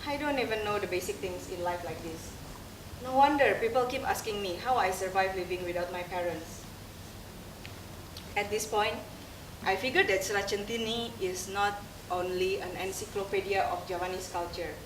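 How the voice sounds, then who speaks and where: A young woman speaks English with an Indonesian accent, giving a candid, self-mocking monologue on a theater stage. Her voice is picked up from a distance and rings in the roomy hall, over a layer of steady noise. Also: medium pitch, moderate pace. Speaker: theater performer. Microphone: distant room mic. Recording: roomy stage sound from far off, audible noise.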